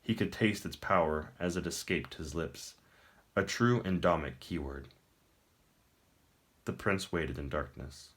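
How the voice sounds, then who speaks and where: A man's voice: deep voice, a little slow, pauses often, a bit bright. A man reading a fantasy novel aloud as dramatic scripted narration. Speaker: audiobook reader.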